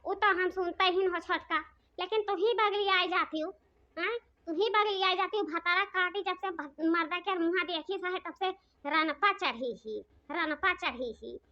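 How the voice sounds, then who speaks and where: A very high, processed cartoon voice acted out by a performer plays a village woman who nags and coaxes. Her rural eastern Hindi-belt accent comes through slightly muffled, lo-fi audio. Phrases get repeated insistently. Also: unhurried. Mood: scolding. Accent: eastern Hindi belt (Bhojpuri-Awadhi region). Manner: nagging, coaxing, repetitive. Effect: pitched-up voice effect. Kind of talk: comedic cartoon dialogue.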